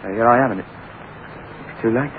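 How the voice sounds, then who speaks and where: An actor playing a desperate young English petty thief racked with guilt, intense and brooding in melodramatic old radio drama style. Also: male voice, medium pitch, a little slow, a little hiss or hum, natural voice. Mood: desperate, determined. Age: young adult. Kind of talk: old-time radio drama acting with confessional narration. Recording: tinny old radio recording.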